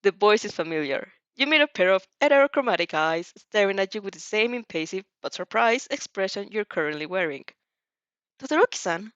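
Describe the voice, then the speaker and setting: A woman's voice: medium pitch, animated, fairly quick, few pauses, a bit bright, clear, resonant tone, no room sound. Woman reading fanfiction, dull dry recording. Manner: dramatic, stumbles over words and repeats them. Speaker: fan fiction reader and dubber. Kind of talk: expressive audio fanfiction narration with character voices.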